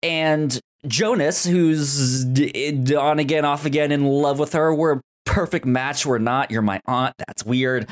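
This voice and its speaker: A man co-hosting a podcast in casual TV recap chat, excitable and often stammering over repeated words. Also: fairly high pitch, unhurried, few pauses. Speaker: podcast co-host.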